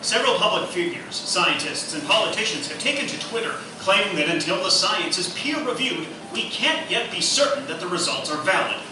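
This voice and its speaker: A man's voice: high voice, expressive, brisk, hardly any pauses, recorded from a distance. A man playing an earnest news reporter, delivering a formal bulletin in a live radio play. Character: earnest male TV news reporter reading breaking news. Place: live performance room, distant mic. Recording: echoey, noisy live theatre recording from afar.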